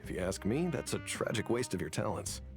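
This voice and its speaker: An actor playing an earnest academy professor in a video game, measured, formal and coaxing. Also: male voice, on the low side, big pitch swings, over music. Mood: earnest, persuasive. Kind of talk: scripted game dialogue.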